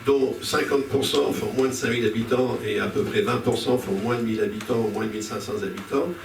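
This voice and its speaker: A man speaks to a public meeting from some distance off the mic, in a room with plenty of echo. His delivery is impromptu and argumentative, with halting phrases that he often reworks as he goes. Clear background noise runs under his mid-pitched voice. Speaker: speaker on a citizens' panel at a public local-politics debate.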